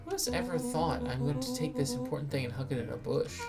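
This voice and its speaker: A woman's voice: low voice, sing-song, quick pace, loud music behind the voice. A woman voicing a wry, skeptical kid sidekick with a casual, sarcastic manner in a video game read-aloud. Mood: sarcastic, exasperated. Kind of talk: dramatic reading of video game dialogue.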